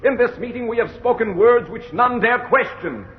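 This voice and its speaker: An actor playing a fervent, commanding leader of young rebels, solemn and declamatory in old radio drama style. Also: male voice, very high voice, animated, normal speed, phone-quality sound. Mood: fervent, rousing. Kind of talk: 1940s radio drama acting.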